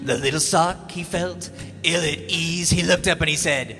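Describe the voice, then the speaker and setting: Guy doing a cheesy evil showman crooner, highish voice, guitar going, big echoey stage. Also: moderate pace, lightly acted. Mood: smug crooning. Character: vain villainous male lounge-singer showman. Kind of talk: live comedic radio-play musical performance.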